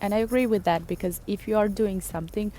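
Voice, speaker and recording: A woman's voice: mid-range voice, quick pace, dark tone, a little hiss or hum, poor audio. A young woman from Serbia, a native Serbian speaker, talks casually to the camera in English with a Serbian accent. She records outdoors on a camera mic and chats on with plenty of fillers. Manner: chatty, thinks aloud with fillers. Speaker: vlogger. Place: outdoors in a city.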